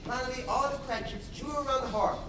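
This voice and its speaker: A man's voice: very high voice, steady tone, fast talker. A man playing a storyteller who narrates a Victorian family tale on stage. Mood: storytelling. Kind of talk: theatrical scripted narration. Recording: echoey, distant, noisy stage recording.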